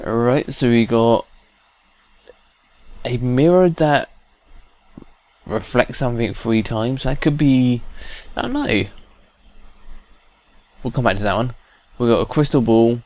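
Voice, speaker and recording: Slow, laid-back man doing gameplay commentary. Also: mid-range voice, a little mellow. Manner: laid-back, halting, thinking aloud. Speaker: gamer, let's play host.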